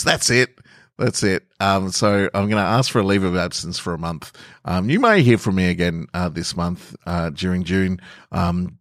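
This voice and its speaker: Deep-voiced Australian man, chatty podcast host. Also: dry recording, studio quality. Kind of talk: casual podcast announcement.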